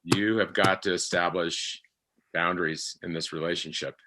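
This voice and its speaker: A man talking conversationally as a panel guest, breaking his sentences into short phrases with pauses. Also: deep voice, fairly even intonation, background noise. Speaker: actor guest on a discussion panel. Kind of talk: conversational discussion.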